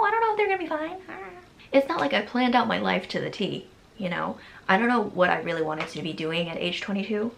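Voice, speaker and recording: Gravelly anxious young woman vlogging. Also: mid-range voice, a bit bright, a little hiss or hum. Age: 20s. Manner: anxious, rambling, laughs often. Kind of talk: casual confessional vlog.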